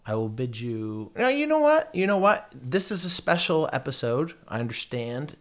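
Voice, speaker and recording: A man's voice: mid-range voice, sing-song, moderate pace, a bit bright. An American man with a noticeable lisp, talking casually to his viewers in a rambling, profane, self-mocking way. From United States. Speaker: vlogger. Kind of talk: casual chat.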